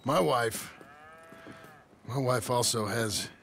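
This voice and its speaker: An actor playing an earnest, humble American ranch hand in a western video game, speaking haltingly and deferentially in a gravelly voice. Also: male voice, medium pitch, a bit bright, a little hiss or hum. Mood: earnest, hesitant. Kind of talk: video game cutscene acting.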